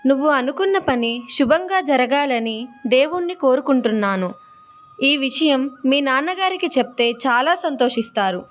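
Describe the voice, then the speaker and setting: A woman's voice: sits high, expressive, normal speed. A woman voicing a loving, devout mother speaking affectionately in a children's cartoon. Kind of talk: children's cartoon story dubbing.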